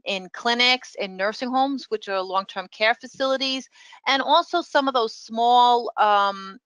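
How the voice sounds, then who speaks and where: A middle-aged professor with a New York accent speaks in a bright, forceful tone. She explains a program over slides in a webinar, filling pauses with ums. The recording is dry and close. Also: mid-range voice, dry recording. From New York City. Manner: explanatory, filler-heavy. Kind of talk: webinar presentation.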